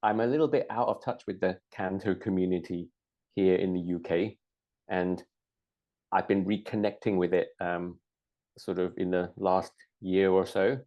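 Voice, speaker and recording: A middle-aged man, born in Hong Kong, chatting casually, hesitant with lots of ums and restarts and quick to laugh. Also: sits low, steady tone, muffled recording. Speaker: co-host. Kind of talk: casual chat.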